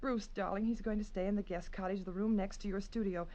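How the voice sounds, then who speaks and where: An actress playing a refined, devoted, anxious society wife in a vintage radio drama. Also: female voice, medium pitch, steady tone, quick pace, mellow, lightly acted. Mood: gracious. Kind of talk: 1940s radio acting. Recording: dull old radio recording.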